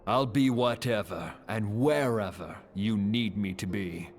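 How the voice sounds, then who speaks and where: A man's voice: on the low side, unhurried, soft background music. An actor playing a steadfast, weighty man in a fantasy video game, speaking with solemn deliberation. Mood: resolute. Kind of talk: video game cutscene acting.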